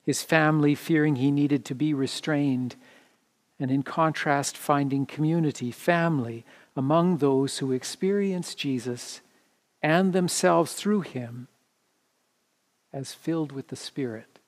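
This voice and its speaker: A middle-aged or older man, a pastor, reads a sermon in measured, deliberate phrases inside a church. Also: on the high side. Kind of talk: sermon read from a script. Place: indoor church sanctuary, standing before the altar.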